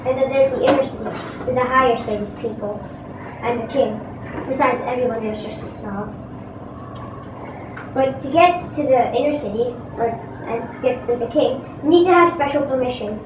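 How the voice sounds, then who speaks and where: A woman's voice: fairly high pitch, moderate pace, natural voice. Female reader as friendly herder boy in amateur play, plain talk, far from mic, noisy room. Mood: matter-of-fact. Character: poor friendly buffalo herder boy, matter-of-fact. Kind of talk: amateur readers theatre performance. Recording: echoey room from far, clear noise.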